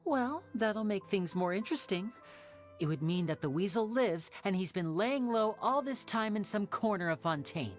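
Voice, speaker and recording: A man voicing a suave, composed young magician in a video game, light and youthful, polite and smoothly articulate. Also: sits high, lively intonation, normal speed. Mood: intrigued, calm. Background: background music.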